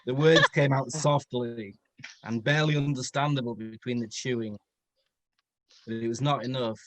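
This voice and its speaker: A man's voice: medium pitch, steady tone, normal speed, heavy background noise. A man narrating a story aloud for comedy in a playfully dramatic way. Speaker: comedian reading fan fiction aloud.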